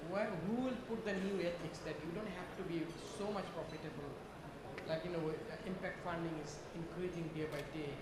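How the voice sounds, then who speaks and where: A man with a Bangladeshi accent putting a question from the floor at a conference, in halting short phrases. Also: a little high, steady tone. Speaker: audience questioner, venture capital managing director. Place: conference hall. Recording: very echoey, noisy conference hall.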